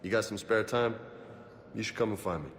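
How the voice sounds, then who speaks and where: A man's voice: lowish voice, a little fast, in a large hall, lightly acted. A man playing a streetwise, disillusioned soldier in a video game, talking in a blunt, slangy way. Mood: blunt, determined.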